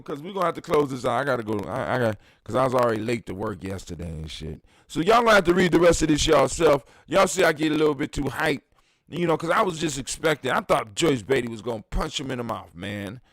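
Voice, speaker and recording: A man in his fifties hosting a casual talk show, ranting in an animated, profane and emphatic way. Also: mid-range voice, quick pace, no room sound. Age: 50s. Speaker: podcast host. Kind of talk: casual chat.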